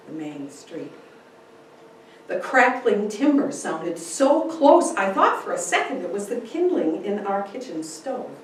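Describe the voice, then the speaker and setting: A woman reads a story theatrically, taking her time and swinging her pitch expressively. She is heard from a distance in a strongly reverberant room. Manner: theatrical, deliberate. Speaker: speaker giving a dramatic reading. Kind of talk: dramatic first-person story narration. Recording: echoey room, distant mic, faint noise.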